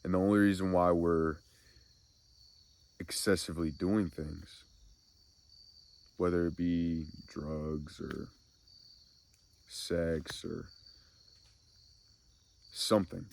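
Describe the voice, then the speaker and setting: Congested nasal guy, real deep gravelly voice, slow ramble for spiritual asmr, crickets in the back. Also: steady tone, a little mellow. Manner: slow, meandering reflective musing. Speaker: ASMR spiritual channel host. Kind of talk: soft-spoken ASMR spiritual talk.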